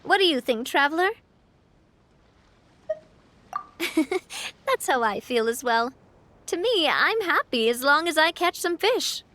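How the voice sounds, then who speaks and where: A woman's voice: high voice, lively intonation, normal speed. A cheerful young noblewoman. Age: young adult. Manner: warm, laughing easily, wistful when reflecting. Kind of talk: video game voice acting. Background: soft game music.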